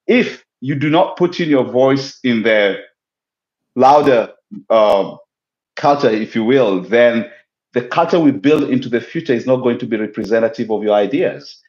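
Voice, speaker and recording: A man from Kenya, a guest explaining his ideas in a measured, reflective way over a remote call. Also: mid-range voice, a little mellow. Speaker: guest, business owner. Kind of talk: casual interview talk. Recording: echoey, dull lo-fi audio.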